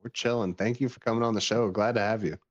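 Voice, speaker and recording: A man's voice: lowish voice, steady tone, quick pace, no room sound. A man co-hosting a podcast, talking casually through fight analysis and interview questions, on a lo-fi microphone. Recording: dull, lo-fi microphone audio.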